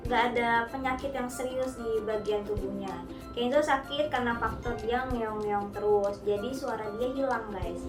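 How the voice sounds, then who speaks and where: A young woman with a slightly high voice gives chatty pet-care advice and keeps calling her viewers guys. She sounds a little far from the mic in a roomy, echoing space, with clear background music. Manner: chatty, sprinkles English words, keeps addressing viewers as guys. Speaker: cat owner sharing pet care tips. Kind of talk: casual vlog talk to viewers. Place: home studio. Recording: echoey room, heard from a distance, music behind.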